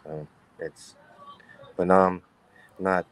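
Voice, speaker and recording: A baritone man being interviewed as a guest musician over a video call, talking in a halting, rambling way with many restarts. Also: level tone, rough recording. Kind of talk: casual interview chat. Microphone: webcam on a video call.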